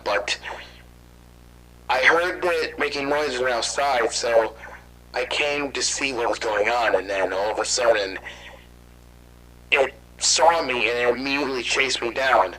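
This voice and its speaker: Man playing a scared, rambling witness, bright tense voice, curses when he panics, horror audio drama. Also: medium pitch, slight background noise, poor audio. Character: frightened man hunted by monsters.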